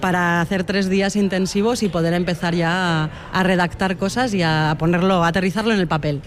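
A woman's voice: on the low side, steady tone, a little fast. Interviewee: woman, thoughtful and earnest, keeps checking in with tag questions. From Spain (Huesca, Aragón). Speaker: beekeeper and environmental educator, interviewee. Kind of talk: radio interview answers.

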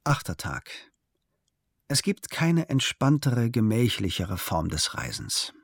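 A man calmly reading a travel diary aloud as scripted narration, measured and unhurried. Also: fairly low pitch, gravelly, husky, no echo. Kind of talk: calm scripted literary narration.

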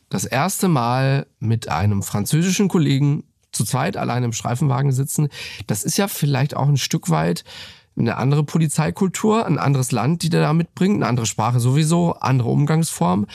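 A male interview host with a gravelly voice asks long questions that lay out the background before the point. The studio sound is dry and close. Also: fairly high pitch, expressive, normal speed, a little mellow, dry recording.

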